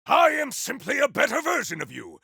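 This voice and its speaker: A male voice actor playing a grandiose, battle-hungry video game warrior, declaiming in a bombastic, archaic style with a rough, gravelly voice. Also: very high-pitched, wildly swooping pitch, brisk, bright, forceful tone, no echo, studio quality, full character voice. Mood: battle fury. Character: grandiose battle-hungry warrior wielding fire, frost and storm. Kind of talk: video game combat barks.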